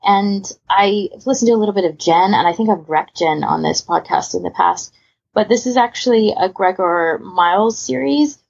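A woman's voice: medium pitch, moderate pace, gravelly, a little mellow, slightly rough. A woman co-hosting a podcast, chatting casually in a rambling, conversational way full of asides. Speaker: podcast co-host. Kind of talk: casual podcast chat, recommending audio stories. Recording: muffled, lo-fi voice recording.

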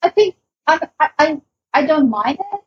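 A woman's voice: sits high, expressive, normal speed, dry recording, highs cut off. A woman co-hosting a podcast, chatting casually in hesitant, broken phrases. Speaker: podcast co-host. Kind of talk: casual chat.

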